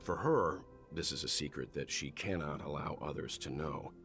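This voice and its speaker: Very deep, gravelly, composed man. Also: a bit bright, soft background music, heavily acted. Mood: thoughtful, concerned. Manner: composed, deliberate, mentor-like. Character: calm, thoughtful, reassuring older male companion. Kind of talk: scripted video game voice acting.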